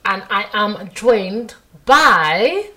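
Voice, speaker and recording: A woman's voice: mid-range voice, lively intonation, very slow, pushed and bright. A woman, hosting a casual podcast chat, chatty and rambling with fillers and frequent laughs. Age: 20s. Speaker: podcast host.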